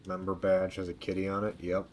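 A man's voice: deep voice, monotone, a little fast, slight background noise. A man giving casual, laconic gameplay commentary as a streamer, in short muttered remarks. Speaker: game streamer.

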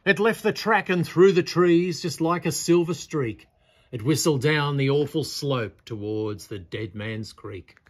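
A man's voice: a little high, a little slow. A man reading a picture-book poem aloud as a storyteller, in a theatrical, dramatic style. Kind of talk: expressive read-aloud poetry recitation. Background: birdsong.

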